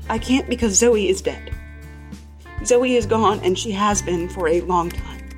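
A woman playing a haunted, grieving female survivor, telling a first-person horror story solemnly and deliberately. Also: medium pitch, lightly acted. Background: faint music.

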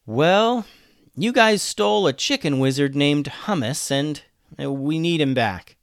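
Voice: medium pitch, animated, a little slow, gravelly, no echo, studio quality, voice pushed up. A storyteller voicing an eager, earnest young boy on an adventure in a children's story. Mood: hesitant bargaining.

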